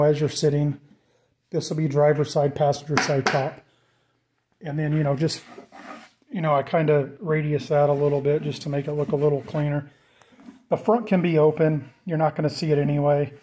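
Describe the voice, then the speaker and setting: A man giving a casual, off-the-cuff walkthrough of a DIY project, informal and thinking out loud as he goes. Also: on the high side. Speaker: DIY vlogger presenting a build.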